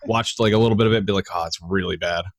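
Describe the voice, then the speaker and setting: A man's voice: deep voice, steady tone, rapid-fire, dry recording, studio quality. An American man in casual conversation, slangy and effusive, swearing freely. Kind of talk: casual chat.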